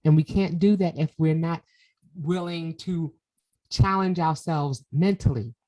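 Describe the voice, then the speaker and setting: Low-voiced woman podcast host, affirming. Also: measured pace, mellow, poor audio. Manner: affirming, lots of "you know" and repeated words. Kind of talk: casual conversational interview.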